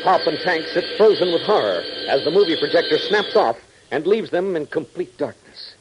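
A man's voice: fairly high pitch, sing-song, normal speed, dull audio, noisy background. A man working as a 1940s radio announcer, reading scripted narration in a dramatic, emphatic style.

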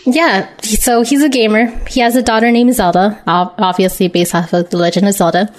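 A woman's voice: medium pitch, fairly even intonation, fairly quick. A woman hosting a show, reading out news and announcements in an informal, chatty style. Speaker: podcast host. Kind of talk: casual live show news chat.

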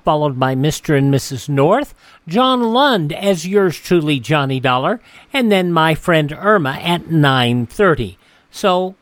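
Relaxed rambling radio host man. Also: fairly high pitch, no echo. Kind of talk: casual show introduction.

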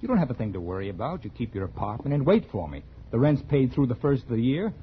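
A man's voice: mid-range voice, very animated, fast talker, very noisy, close to an everyday voice. An American man playing a bitter, self-absorbed mystery writer in a 1940s radio drama, clipped and condescending. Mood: impatient. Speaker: actor in the lead role. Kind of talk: 1940s radio drama acting and first-person narration. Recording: dull vintage radio recording with music.

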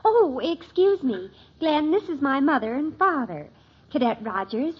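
An actress playing a melodramatic, easily exasperated teenage big sister in a 1950s radio sitcom. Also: female voice, sits high, big pitch swings, measured pace. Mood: polite, flustered. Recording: dull old radio recording.

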